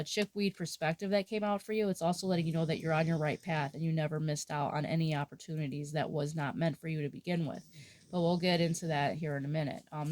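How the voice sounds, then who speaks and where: A woman reading tarot in a soft, halting way. She sounds nasal and has a sore throat. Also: lowish voice, dry recording. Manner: soft-spoken with frequent fillers and restarts. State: sore throat, under the weather. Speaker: tarot reader. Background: dog snoring.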